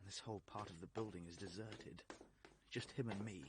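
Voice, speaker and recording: An actor playing an anxious, self-doubting British fugitive who talks agitatedly to himself in a vintage radio drama. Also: male voice, lowish voice, fairly even intonation, normal speed, lightly acted. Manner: agitated, self-questioning monologue. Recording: noisy vintage radio play recording.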